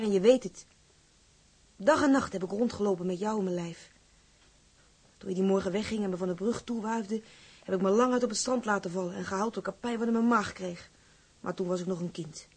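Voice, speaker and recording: A woman's voice: mid-range voice, slightly rough. An actress playing a lovestruck, outspoken young woman from a seaside town in a drama, with blunt, insistent, girlishly colloquial speech. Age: young adult. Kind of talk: dramatized dialogue acting.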